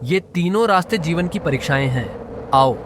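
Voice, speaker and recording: A man voicing a calm, kindly young yogi in a children's story, instructive and encouraging. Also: fairly high pitch, moderate pace, voice pushed up, lightly acted. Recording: clearly noisy background.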